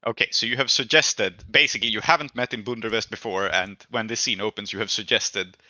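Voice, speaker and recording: A man's voice: lowish voice, a little fast, bright, forceful tone, dry recording, muffled recording. A man improvising narration as game master in a tabletop roleplaying game, thinking aloud as he goes.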